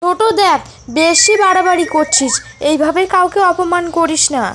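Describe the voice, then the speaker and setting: A woman voicing a meek, kind, sorrowful elder wife in a cartoon folk tale, with a gentle, plaintive manner. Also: very high voice, fairly even intonation, normal speed, noisy background. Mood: hurt, protesting. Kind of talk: animated folk tale dialogue. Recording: voice over prominent background music.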